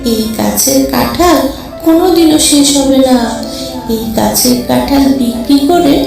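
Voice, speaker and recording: A high, creaky magical tree spirit played by a woman speaks in a deep wash of echo. The kindly cartoon spirit grants gifts slowly and solemnly, with music behind. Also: expressive, heavily acted. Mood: kind, reassuring. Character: kind magical talking jackfruit tree spirit. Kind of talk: children's cartoon voice acting.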